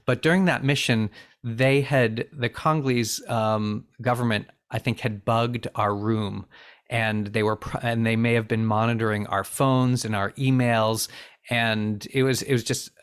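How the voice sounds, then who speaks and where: A man's voice: medium pitch, steady tone, unhurried, clean tone. A man in his forties, raised bilingual in Finnish and English, telling an interviewer about his humanitarian work in an earnest, reflective way, with frequent restarts. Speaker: interview guest, refugee aid founder. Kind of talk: conversational interview storytelling.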